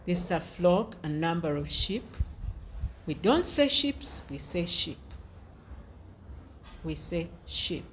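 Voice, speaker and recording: Slow, patient woman teacher. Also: on the low side, sing-song, slight background noise. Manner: patient, repeats words and spells them out. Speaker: primary school teacher. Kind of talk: slow children's lesson.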